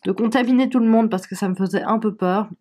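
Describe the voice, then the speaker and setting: A woman's voice: medium pitch, quick pace, darkish tone. A young woman with a stuffy cold, chatting casually to camera about her reading, with frequent fillers. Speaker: book vlogger. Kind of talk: casual vlog chat to camera.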